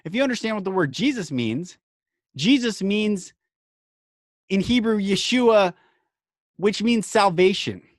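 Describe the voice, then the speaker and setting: A man hosting a podcast, speaking in an earnest, emphatic inspirational monologue broken into short phrases with dramatic pauses. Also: high voice, expressive, dry recording, studio quality.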